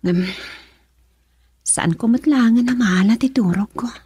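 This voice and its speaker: An actress playing a young woman in love in a radio drama, voicing her thoughts in a breathy half-whisper. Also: on the high side, unhurried, mellow, no room sound, clean studio sound. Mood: wistful.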